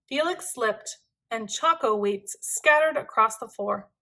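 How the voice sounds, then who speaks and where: A woman reading a children's storybook aloud in an expressive, deliberate, dramatic way. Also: fairly high pitch, a little hiss or hum. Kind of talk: expressive scripted read-aloud narration for children.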